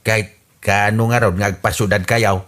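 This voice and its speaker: A man's voice: fairly even intonation, normal speed, a bit bright. A man with an Ilocano accent acting in a radio comedy drama, exasperated and sighing. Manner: exasperated and sighing, pitch leaping up. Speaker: voice actor in an audio comedy drama.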